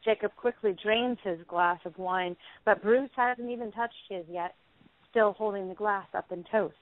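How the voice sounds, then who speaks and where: Lady reading stage directions for an audio play, mid pitch, very dry but sounds like a phone call. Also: moderate pace, dry recording. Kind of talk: scripted dramatic narration. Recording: tinny phone-like band.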